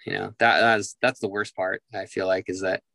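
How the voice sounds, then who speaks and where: A man's voice: sits low, flat delivery, moderate pace, gravelly, bright, forceful tone, dry recording. A man in his forties, a musician guest, talking candidly and reflectively in an interview, with many fillers and restarts. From Southern California. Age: 40s.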